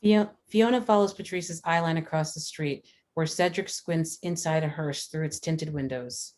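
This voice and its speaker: Woman, low pitch, scripted narration, stage directions, table read narrator. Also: normal speed, darkish tone.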